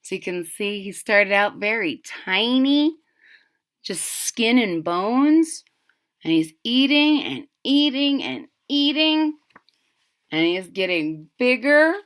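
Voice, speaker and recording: A woman reading a picture book aloud to young children, expressive and stopping to explain in simple words. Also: fairly high pitch. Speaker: storybook reader.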